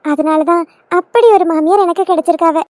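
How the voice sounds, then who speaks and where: A woman's voice: very high voice, a little fast, background noise. A woman playing an affectionate young daughter-in-law in a family skit, gushing and emotional, with a southern Tamil Nadu accent. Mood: devoted, gushing.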